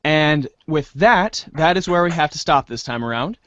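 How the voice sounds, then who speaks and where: A man's voice: on the high side, expressive, normal speed, fairly bright tone, no room sound, lo-fi sound. A man hosting a show in a theatrical presenting style. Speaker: host.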